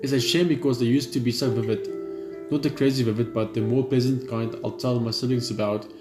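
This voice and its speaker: A man gaming, reading a horror visual novel's narration aloud in a steady read, dropping blunt side remarks. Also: mid-range voice, a little fast, roomy sound, over music. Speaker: gamer.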